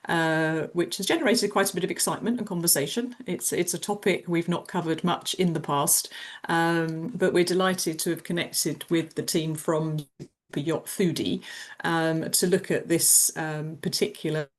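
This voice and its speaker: Woman, low pitch, webinar host, informal introduction, polite, slightly hesitant. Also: fairly even intonation, normal speed. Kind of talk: informal spoken introduction to an online talk. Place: online webinar.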